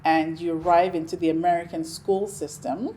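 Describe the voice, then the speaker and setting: A middle-aged woman from Nigeria, a Yoruba speaker, talking about her life in a relaxed, reflective interview, with frequent ums and restarts. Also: fairly low pitch, normal speed, slight background noise. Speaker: interview guest. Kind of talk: conversational interview.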